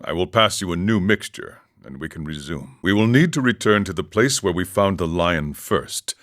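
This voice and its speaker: A man playing a serene, world-weary wandering monk in a video game, speaking in a measured, formal, philosophical manner. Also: deep voice, lively intonation, a bit bright, no echo, studio quality. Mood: calm, reassuring. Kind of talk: video game character dialogue.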